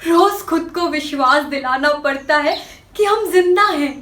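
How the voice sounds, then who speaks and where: A woman acting an anguished young woman confessing a secret in a dramatic stage monologue, halting and sorrowful with long pauses. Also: sits high, a bit bright. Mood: anguished, tearful. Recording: echoey room, distant microphone.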